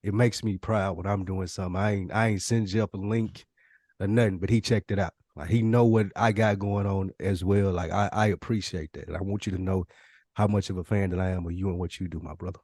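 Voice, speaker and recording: Fast-talking man with a low voice. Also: fairly even intonation, a little mellow, no echo. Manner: slangy, encouraging. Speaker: podcast host. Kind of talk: casual chat.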